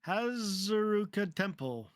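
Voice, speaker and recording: A woman voicing a solemn, formal judge in a courtroom video game, measured in manner. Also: mid-range voice, expressive, noisy background.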